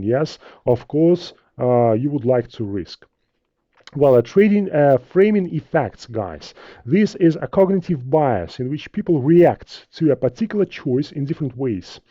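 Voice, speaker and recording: A man with a Russian accent, a native Russian speaker, teaching trading in a live webinar, halting, with many fillers and restarts. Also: a little high, lively intonation, warm tone, no echo, lo-fi sound. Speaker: trading instructor. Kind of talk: live webinar talk with viewer questions.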